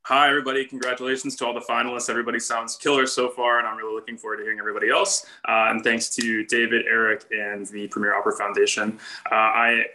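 A man's voice: medium pitch, flat delivery, fast, sharp tone, rough recording. An American man in his thirties introducing himself casually on a video call from home. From United States. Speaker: opera singer, competition finalist. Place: living room at home, indoors. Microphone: computer mic on a video call.

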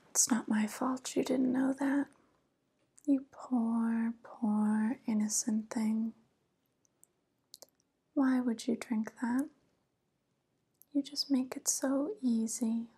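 A woman's voice: a little high, slightly rough, exaggerated voice. A young woman playing an evil, ageless witch in an ASMR roleplay, whispering breathily and close with condescending mock pity. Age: young adult. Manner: slow, hushed, condescending, mock-pitying. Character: evil 142-year-old witch who looks young, coolly predatory. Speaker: ASMR performer. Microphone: close microphone.

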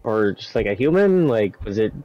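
A man's voice: on the low side, big pitch swings, moderate pace, dry recording. Casual man on dull voice chat. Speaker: fellow gamer on voice chat. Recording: dull voice chat audio, music behind. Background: game cutscene music.

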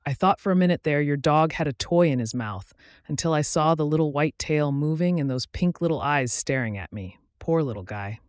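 A man's voice: on the high side, animated, a little fast, no room sound, clean studio sound, natural voice. Man reading Marcia, a wry joking woman.

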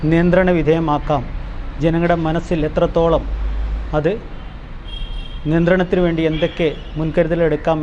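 Lo-fi, higher-voiced Kerala man addressing public. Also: a little mellow. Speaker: presenter explaining the show to the public. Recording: dull lo-fi outdoor recording, faint noise.

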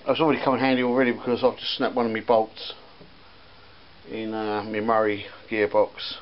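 A man's voice: on the low side, little pitch movement, moderate pace. A man casually chatting while showing his auction finds, rambling with lots of ums and restarts as he films outdoors. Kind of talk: casual chat. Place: outdoors in a garden and workshop. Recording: slightly dull camera audio with faint background noise. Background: faint outdoor ambience and church bells.

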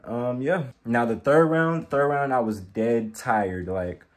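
A man's voice: mid-range voice, takes it slowly. A young man talking casually to the camera, reviewing his own performance as an amateur athlete. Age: young adult. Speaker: amateur boxer, vlogger. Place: indoor room in front of curtains.

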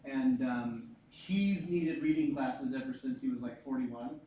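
A man's voice: medium pitch, measured pace, a little hiss or hum. 40s guy teaching a class, chatty and jokey, mic kinda far, lots of room echo. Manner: lively, joking, repeats key points. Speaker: physics teacher. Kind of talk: classroom lecture.

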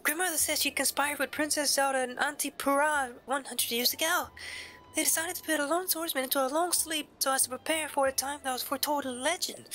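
A man voicing a shy, earnest young village woman from a video game in a polite falsetto. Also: bright, forceful tone. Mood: solemn, hopeful. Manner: polite, hesitant, stammering when flustered.

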